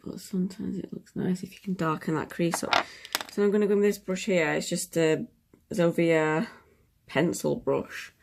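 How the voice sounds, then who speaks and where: Sniffly young woman, gravelly voice. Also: fairly low pitch, steady tone, normal speed, darkish tone, a little hiss or hum. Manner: chatty, self-deprecating, drops into whispers while working. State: sniffly from a cold. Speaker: makeup tutorial vlogger. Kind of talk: casual makeup tutorial chat.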